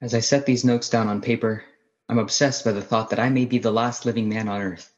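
An actor playing a haunted astronomy professor, lone survivor of an alien invasion, narrating a radio play in a halting, brooding, literary way. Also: male voice, fairly low pitch, fairly even intonation, muffled recording, soft background music, lightly acted. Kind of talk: dramatic first-person radio play narration.

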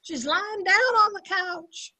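A woman's voice: very high-pitched, takes it slowly, a crowd in the background. An older woman playing a brash, sarcastic housemaid in an amateur old-time radio comedy, over a video call. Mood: insistent. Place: room at home, video call.

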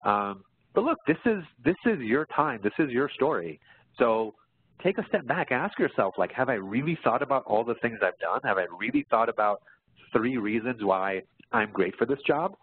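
A man's voice: medium pitch, expressive, moderate pace, slightly rough. A man presenting as a career coach, answering webinar questions in an explanatory way, often checking in as he goes. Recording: muffled phone-like line in echoey room.

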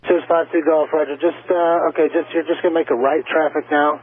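A man's voice: fairly high pitch, level tone, brisk, phone-quality sound. An American man working as an air traffic controller, giving informal, reassuring instructions over the radio. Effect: radio transmission.

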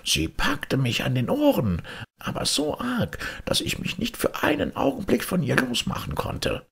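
A man voicing a grateful, crafty ghost from a fairy tale in a thin, breathy, plaintive voice. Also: a little high, wildly swooping pitch, a little slow, a bit bright, voice pushed up, exaggerated voice.

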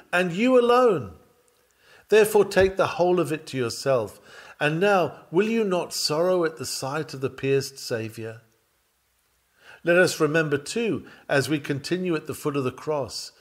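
A man reading an old-fashioned sermon dramatically, with solemn, fervent and measured oratory. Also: a little high. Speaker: sermon reader.

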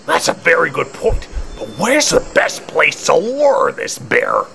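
Man playing gravelly, wildly animated cartoon hunter. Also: a little high, unhurried, heavily acted. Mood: thoughtful, eager. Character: excitable male hunter regent, eager for meat. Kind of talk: amateur cartoon audio drama acting. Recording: music laid under the voice.

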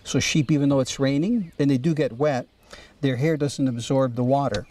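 Mellow-voiced fishing show host. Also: male voice, mid-range voice, moderate pace, slight background noise. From Canada. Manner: patient, explanatory, enthusiastic. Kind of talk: outdoor fishing show explanation and chat. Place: outdoors on a boat.

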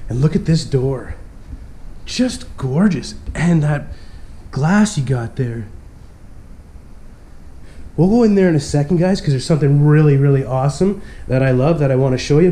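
A young man with a congested, stuffy voice gives a cheerful walking tour, talking straight to his viewers as he moves through empty rooms. His voice sits a little high but sounds soft and mellow, picked up on a handheld action camera. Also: lively intonation, moderate pace. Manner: upbeat, keeps addressing viewers as guys. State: stuffy from a cold. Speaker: urban explorer vlog host. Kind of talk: casual walkthrough narration. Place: empty abandoned mansion, indoors.

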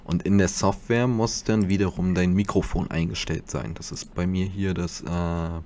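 A man's voice: sits low, steady tone, normal speed, a little mellow, a little hiss or hum. A man giving a casual tutorial commentary as host, speaking into a desktop USB microphone.

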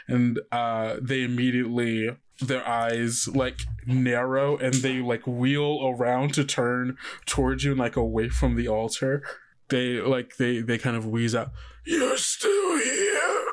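A man narrating as game master in casual, improvised speech, thinking aloud in a halting way. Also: medium pitch, little pitch movement.

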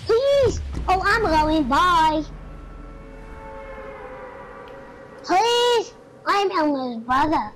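Squeaky little puppet creature, extremely high, childish baby talk, noisy voice chat. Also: sing-song, slow pace, fairly bright tone, exaggerated voice. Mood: pleading, playful. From England. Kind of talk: in-character online game voice chat.

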